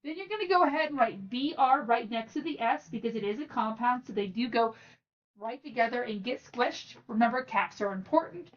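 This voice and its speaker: A woman with a fairly high voice walks learners through a lesson one step at a time. Her delivery is informal and encouraging, addressed straight to the listener. The recording sounds a little dull, as if she sits some way from the mic. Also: animated, fairly quick, distant mic. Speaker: teacher and channel host.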